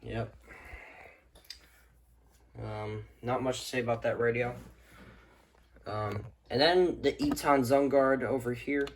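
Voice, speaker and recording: A man talking casually and off the cuff as a vlogger showing his collection at home, in a low-key, offhand way. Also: fairly even intonation, slow pace, long pauses, slight background noise. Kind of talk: casual unscripted show-and-tell. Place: indoors, rooms at home.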